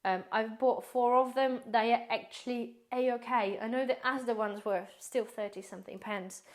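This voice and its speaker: Chatty woman vlogger with a slightly higher voice. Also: slight background noise. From based in the UK. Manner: chatty and rambling, addresses viewers as guys. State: slightly hoarse, losing her voice. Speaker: prepper vlogger. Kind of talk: casual grocery haul vlog.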